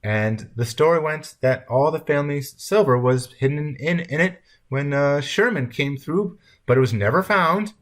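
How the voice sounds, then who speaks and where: A man's voice: fairly high pitch, expressive, moderate pace, few pauses, voice pushed up, close to an everyday voice. A man voicing a chatty, crafty old grandmother from the in a story reading. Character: chatty, nostalgic, manipulative old Southern grandmother.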